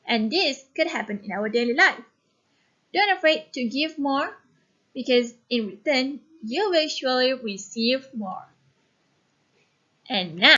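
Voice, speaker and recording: A woman teacher reading a story expressively and giving lesson instructions with clear, instructive phrasing. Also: high voice, a bit bright, muffled recording.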